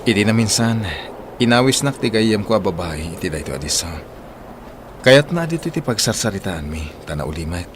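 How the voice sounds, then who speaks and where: A man's voice: fairly low pitch, sing-song, fairly quick, background noise. A man playing a devoted, protective young suitor in a radio drama. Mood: earnest, teasing. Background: loud music.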